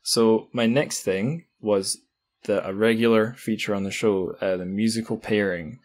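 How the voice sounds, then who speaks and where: A man's voice: lowish voice, steady tone, unhurried, a little mellow. A man hosting a podcast in a casual, conversational way. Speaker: podcast host.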